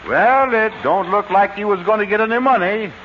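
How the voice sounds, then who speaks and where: An actor playing a dry, wisecracking friend in a 1940s radio comedy, with a teasing way of talking. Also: male voice, high-pitched, moderate pace, a little hiss or hum, natural voice. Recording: dull old radio broadcast.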